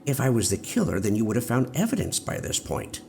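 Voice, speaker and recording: A man playing a calm, cryptic, repentant old prisoner in an audio drama, speaking with measured, quiet insistence in a gravelly voice. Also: medium pitch. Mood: calm, insistent. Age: older. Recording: noisy ambience behind the voice.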